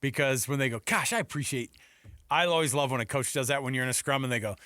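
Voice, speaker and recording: A man's voice: medium pitch, fast, pushed and bright, dry recording, clean studio sound. A middle-aged man hosting casual sports talk radio, telling stories in a jokey way and acting out mock quotes.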